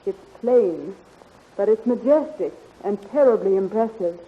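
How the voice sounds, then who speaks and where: An actress playing a spirited, teasing young heroine in a 1930s radio adventure serial. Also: female voice, medium pitch, animated, a little slow, natural voice. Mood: awed, teasing. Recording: muffled, hissy 1930s radio recording.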